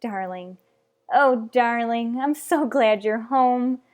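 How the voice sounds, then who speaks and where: A woman's voice: a little high, takes it slowly. A woman playing an anxious, clinging mother in an old-fashioned radio soap opera, speaking in an earnest, emotional way. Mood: overwrought, doting.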